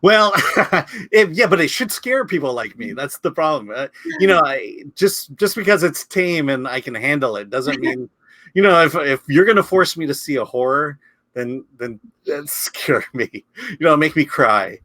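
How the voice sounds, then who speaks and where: A man's voice: a little high, expressive, normal speed, bright, forceful tone, dry recording. A man chatting casually as a film critic, speaking hesitantly and often repeating his words as he reviews.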